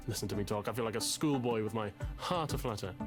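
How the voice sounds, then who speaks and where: A man's voice: fairly low pitch, moderate pace, over music, close to an everyday voice. An actor playing a pompous, anxious, lovesick male literature student in a video game, speaking in a verbose, formal and hesitant way. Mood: smitten, self-conscious. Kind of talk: visual novel game voice acting.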